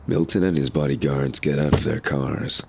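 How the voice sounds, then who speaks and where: A man's voice: rumbling low, fairly even intonation, moderate pace, gravelly, noisy background. A man narrating a scripted audio drama in the present tense, as a storyteller. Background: music.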